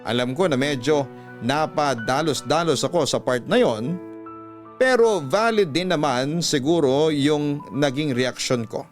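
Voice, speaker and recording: A man's voice: on the high side, unhurried. A man with a Filipino accent narrating a scripted, dramatic letter as a radio storytelling host. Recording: music behind the voice. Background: background music.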